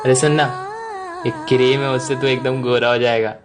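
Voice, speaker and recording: A man's voice: mid-range voice, level tone, normal speed, echoey room, recorded from a distance, close to an everyday voice. A young man acting a brash, impatient youth in casual Hindi drama dialogue. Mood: urging. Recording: faint music behind. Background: music.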